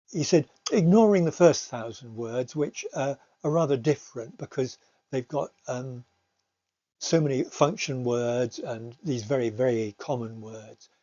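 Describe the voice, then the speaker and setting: A male teacher explains his slides at a slow pace, often pausing and repeating words as he goes. His tone is soft-edged and mellow. The recording is slightly dull. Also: mid-range voice. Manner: hesitant, halting delivery with repeated words. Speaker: teacher presenting a talk.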